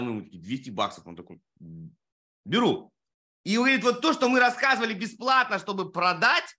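Male podcast host, fairly high voice, animated storyteller, salty casual talk. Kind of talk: casual interview chat.

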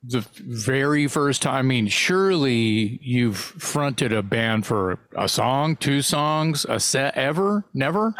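A man's voice: mid-range voice, slow, few pauses, a bit bright, dry recording. A man hosting a casual interview, rambling and stammering, with casual swearing. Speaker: podcast host.

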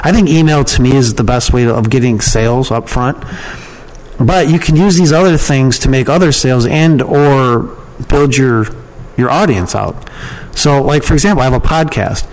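Guy being interviewed, casual and rambly, says what he thinks, medium pitch voice. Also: normal speed, poor audio. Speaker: podcast guest, email marketer and copywriting trainer.

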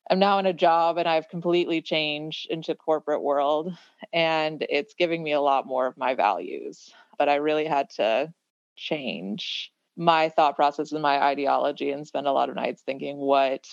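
A woman's voice: low-pitched, creaky, dry recording, lo-fi sound. A woman of about thirty talking reflectively in a casual podcast conversation, building her points through repeated phrases. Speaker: podcast guest.

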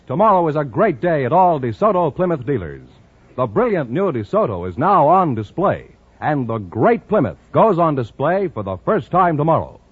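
Animated American vintage radio announcer, male. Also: a little high, breathy edge, no echo. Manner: polished, emphatic salesman delivery. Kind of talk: 1950s radio commercial announcing. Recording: narrow vintage radio broadcast.

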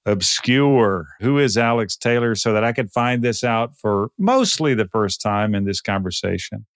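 A man from Texas with an American accent, speaking conversationally in short, deliberate phrases as a podcast host. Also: lowish voice, lively intonation, clean tone, dry recording, clean studio sound. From Texas, United States. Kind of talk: podcast introduction and casual interview.

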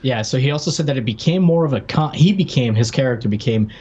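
A man's voice: medium pitch, expressive, quick pace, darkish tone, husky, highs cut off. A man co-hosting a podcast, giving irreverent, casual comedic commentary with quotes and loose swearing. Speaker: podcast co-host.

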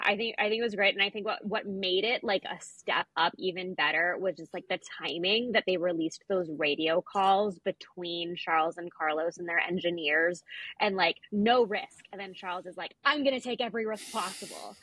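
A woman's voice: medium pitch, moderate pace, fairly bright tone, breathy edge, no room sound, rough recording. A woman co-hosting a podcast, talking about sports in an informal, conversational, emphatic way. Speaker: podcast co-host. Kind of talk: casual sports chat.

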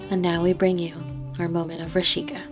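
A woman's voice: on the low side, monotone, fast. A woman reading scripted announcements and credits for a podcast. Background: music.

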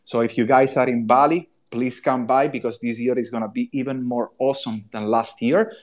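A man's voice: mid-range voice, steady tone, brisk. A man in his thirties with an Italian accent, talking enthusiastically as a guest on a live audio room show and explaining his work step by step. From Italy. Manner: enthusiastic, explains step by step in non-native English. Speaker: guest, crypto consultant.

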